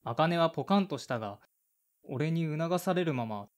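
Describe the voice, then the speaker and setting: A man's voice: a little high, lively intonation, normal speed, natural voice. A man playing a blunt, awkward man of thirty-five in an audio drama, in casual dialogue and brooding narration. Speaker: lead character and narrator.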